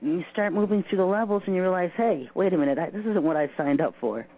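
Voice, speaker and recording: A man's voice: high voice, fast talker. A man giving an informal spoken exposé, emphatic, often listing things in short phrases. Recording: dull lo-fi audio with hum.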